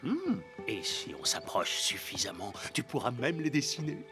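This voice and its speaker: An actor playing a kindly, encouraging man in a children's cartoon, inviting and gentle in manner. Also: medium pitch, huge pitch swings, moderate pace, over music. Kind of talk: French children's cartoon acting.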